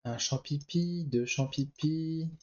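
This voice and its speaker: A man's voice: on the high side, slow pace, darkish tone, lo-fi sound. A man with a cold, thinking aloud as he comments on a card game he plays. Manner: thinks aloud, muttering choices. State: has a cold. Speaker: gameplay commentator. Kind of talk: relaxed let's-play commentary.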